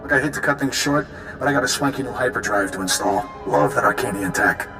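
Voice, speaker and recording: An actor playing a smug, mocking space rogue villain in a video game, glib and sarcastic. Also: male voice, mid-range voice, fast talker, natural voice. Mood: wry, smug. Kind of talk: video game cutscene acting. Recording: echoey long reverb under music.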